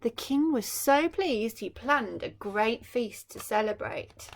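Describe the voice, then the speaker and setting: A woman's voice: fairly high pitch, animated, slow, slight background noise. A woman primary school teacher reading a story expressively, with explanatory asides for young children.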